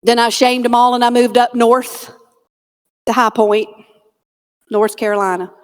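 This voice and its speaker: A fairly high-voiced woman with a Southern drawl delivers a funny, heartfelt keynote, shifting from comic asides to hushed, dramatic storytelling. Her voice rings out through a big hall with a long tail of reverb. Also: level tone, a little slow, smooth. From Georgia, US. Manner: dramatic storyteller, drops to a near whisper on key lines, comic asides. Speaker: motivational keynote speaker and comedian. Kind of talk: humorous motivational keynote storytelling. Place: conference hall stage.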